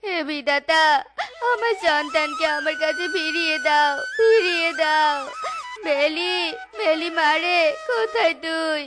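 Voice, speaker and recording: Woman voicing a sobbing cartoon mother, lost child, high voice, music behind. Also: slow. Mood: grieving. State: crying. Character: grieving mother crying for her lost daughter.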